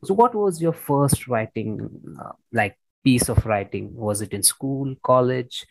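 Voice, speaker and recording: A man's voice: mid-range voice, lively intonation, a little slow, vocal fry, no room sound, rough recording. A man hosting a conversational interview, effusive and often repeating and rephrasing himself as he goes. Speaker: interviewer, host.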